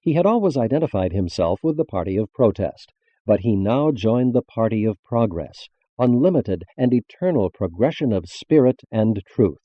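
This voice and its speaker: A man reading a religious text as a formal scripted narration, measured and carefully enunciated. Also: on the low side, no echo, lo-fi sound.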